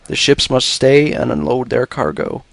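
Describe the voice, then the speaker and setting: A man reads a scripted educational narration in a measured, expository way. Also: mid-range voice, sing-song, vocal fry, no room sound.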